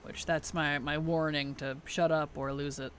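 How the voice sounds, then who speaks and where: A woman game streamer chatting casually and playfully on a livestream, her tired voice starting to weaken and turn gravelly. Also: low-pitched, flat delivery, moderate pace, fairly bright tone, slight background noise. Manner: playful, teasing, chuckles often. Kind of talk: casual livestream chat over gameplay.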